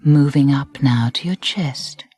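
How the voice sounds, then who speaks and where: A woman narrating a guided meditation in a soft, breathy voice, soothing and deliberate, with gentle phrasing and pauses. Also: extremely low pitch, no room sound. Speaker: relaxation guide. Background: music.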